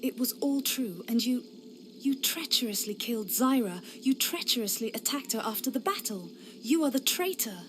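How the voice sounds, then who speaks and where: A woman's voice: on the high side, animated, normal speed, background noise, natural voice. A woman playing an earnest, idealistic young inquisitor knight in a fantasy game cutscene, impassioned and defiant. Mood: hurt, defiant.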